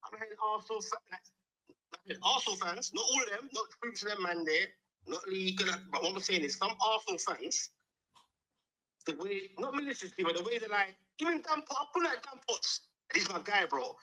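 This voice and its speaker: A man's voice: high-pitched, animated, fairly quick, pushed and bright, low-quality recording. A man from Dublin calling in to a football show, speaking in emphatic, rambling, slangy casual chat. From Dublin, Ireland. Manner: emphatic, rambling, punctuates with "bro". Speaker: caller, Newcastle fan.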